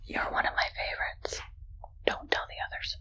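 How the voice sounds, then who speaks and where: A woman playing a doting but sinister female scientist in a whispered ASMR roleplay, speaking gently and affectionately. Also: natural voice, rough recording. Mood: doting, softly sinister. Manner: soft, gentle, affectionate, unhurried. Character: sweetly sinister evil scientist woman, doting on her captive.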